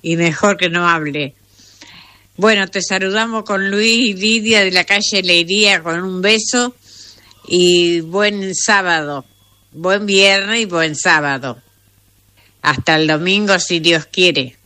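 A woman's voice: fairly low pitch, slow, sharp tone, no room sound. A sleepy woman with an Argentine accent, just out of bed, leaving a chatty, casual message as a radio listener. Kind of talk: casual chat.

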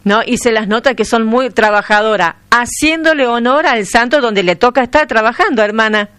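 Smooth-voiced interviewer woman. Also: on the high side, normal speed, no echo. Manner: warm, chatty, enthusiastic. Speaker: radio interviewer. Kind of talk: radio interview.

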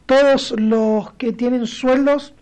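A woman's voice: high-pitched, steady tone, takes it slowly, darkish tone, low-quality recording. A woman co-hosting a live broadcast, reading out poll results and viewer comments.

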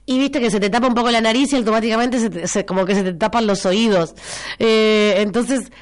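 A woman's voice: fairly high pitch, fairly quick. A woman in her twenties with an Argentine accent, a stuffy nose and a cough, chatting casually on morning radio and reading out listener messages, repeating phrases for emphasis. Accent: Argentine Spanish. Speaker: radio host. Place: radio studio.

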